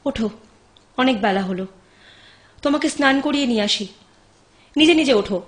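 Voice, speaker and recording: Woman acting a high-voiced, insistent caregiver. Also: animated, normal speed. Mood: firm, coaxing. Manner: earnest, urging, insistent. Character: firm, devoted woman caring for an ailing man. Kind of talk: Bengali audio drama acting.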